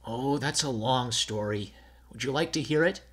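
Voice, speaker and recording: A man's voice: mid-range voice, normal speed, a bit bright, husky. A man voicing a naive young fir tree in a storybook, dreamy and wistful. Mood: earnest.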